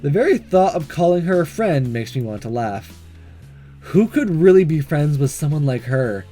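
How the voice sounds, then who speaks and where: A man reading a visual novel aloud as a gaming host, mixing expressive narration with casual commentary. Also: a little high, moderate pace, faint music behind.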